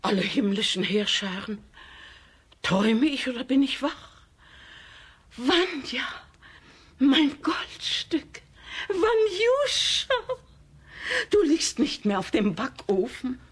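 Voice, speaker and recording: A fussing, doting aunt from a radio fairy tale. Her voice is high and husky, drawn out slowly with big swoops of effusive exclamation. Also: very animated, exaggerated voice. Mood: delighted, amazed. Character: doting, excitable village aunt, fussing over her nephew. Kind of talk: children's radio play acting.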